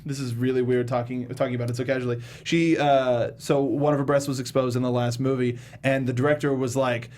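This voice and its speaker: A man hosting a talk show, discussing film casually in a halting, slangy, informal way. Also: mid-range voice, level tone, a little mellow.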